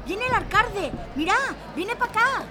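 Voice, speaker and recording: A woman playing an excitable, indignant working-class neighbour in a radio drama. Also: very high voice, measured pace. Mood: excited. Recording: music and crowd behind.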